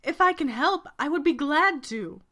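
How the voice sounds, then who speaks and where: A woman's voice: sits high, lively intonation, moderate pace, sharp tone. A woman playing a kind, devoted knight and older-sisterly protector, formal and reassuring, in a video game fandub. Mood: gracious.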